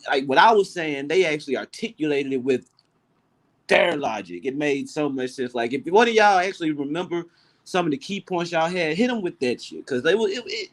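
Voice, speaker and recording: A young man with a Southern Memphis accent hosting a loose group conversation. He talks in a rambling, profane way, full of restarts. His voice sits somewhat high for a man. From South Memphis, USA. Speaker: host of an open discussion. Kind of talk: casual group chat.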